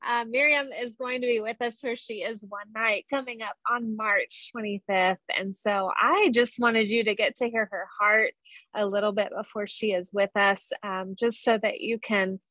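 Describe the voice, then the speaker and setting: A woman's voice: mid-range voice, steady tone, moderate pace, sharp tone, dry recording, poor audio. Woman, podcast host, effusive, welcoming, filler words, dry close recording. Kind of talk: casual podcast interview.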